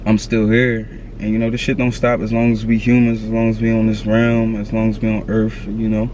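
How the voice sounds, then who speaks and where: A man's voice: lowish voice, monotone, fairly quick, a little mellow, slight background noise, poor audio. A man in his thirties talking candidly to camera outdoors, earnest and slangy, repeating his advice. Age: 30s. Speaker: vlogger. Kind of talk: candid motivational talk to camera.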